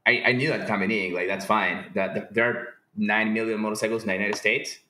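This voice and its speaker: Deep-voiced man in an echoey room. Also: steady tone, a little fast, bright, forceful tone. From Peru. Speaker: podcast guest, company founder. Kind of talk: casual interview conversation. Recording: roomy, echoing sound.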